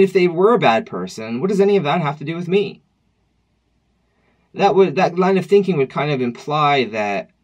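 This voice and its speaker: Reflective, wry man in his thirties. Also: medium pitch. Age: 30s. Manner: reflective, wry, conversational with frequent restarts. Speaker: vlogger. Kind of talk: personal vlog monologue. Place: dim room at home, indoors.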